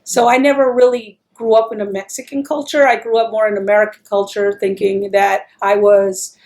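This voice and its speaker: A woman from El Paso, Texas, tells her story as the interviewee in an oral history. Her speech wanders and doubles back on itself. Her pitch sits in a middling range. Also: flat delivery, normal speed, a little mellow. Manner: chatty, rambling, with restarts and laughs.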